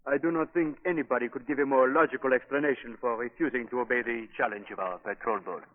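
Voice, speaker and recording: A man's voice: mid-range voice, steady tone, fast talker, lightly acted. A man acting a formal, sardonic, menacing secret police inspector in a 1940s radio drama. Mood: probing, menacing. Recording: tinny narrow 1940s radio broadcast.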